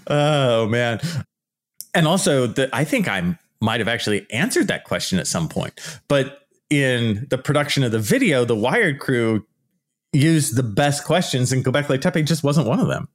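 Man, loud shouty delivery, exasperated vlog rant, mid-range voice. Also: mid-range voice, measured pace. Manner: loud, animated, exasperated ranting with laughs. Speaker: archaeology YouTuber. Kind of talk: casual vlog commentary.

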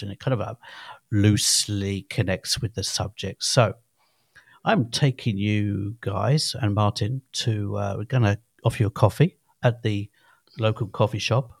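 Chatty low-voiced man in his fifties. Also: vocal fry, no echo, clean studio sound. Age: 50s. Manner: rambling, chatty, restarts often. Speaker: podcast co-host. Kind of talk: casual chat.

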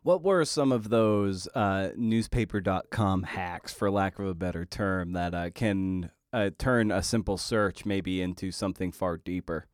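A man's voice: deep voice, a little slow, few pauses, no echo, clean studio sound. A man hosting a podcast, asking questions and chatting casually as the interviewer.

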